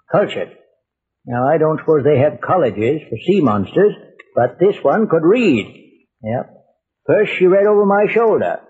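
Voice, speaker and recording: An actor playing a boastful, easily indignant old sea captain spinning tall tales in folksy talk for old-time radio. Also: male voice, a little high, husky. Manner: folksy, colloquial yarn-spinning. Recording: muffled vintage radio broadcast recording.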